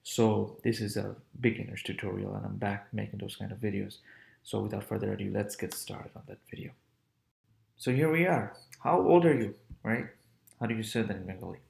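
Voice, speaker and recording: A young man in his twenties teaching a casual language lesson, repeating phrases slowly with relaxed, chatty asides. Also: fairly low pitch, creaky. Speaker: language tutor.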